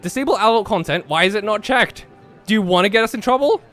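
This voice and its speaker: A man's voice: high voice, animated, quick pace, a bit bright, soft background music. A man hosting a casual game stream, reading aloud and bantering with his co-players in an exasperated, pleading way. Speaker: host reading a visual novel aloud on a group stream. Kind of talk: casual gaming banter with read-aloud narration. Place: group voice chat during a game stream.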